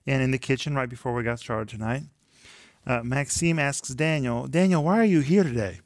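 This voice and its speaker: A man's voice: medium pitch, normal speed. A man hosting a studio Bible study show, welcoming guests, leading prayer and affirming others with frequent amens. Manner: warm, affirming, says amen often.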